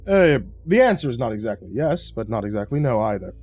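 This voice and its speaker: Tough older man, Eastern European accent, stern and deliberate, acted by a male storyteller. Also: mid-range voice, very animated, darkish tone, faint music behind. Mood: grave, scornful. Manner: formal, deliberate phrasing.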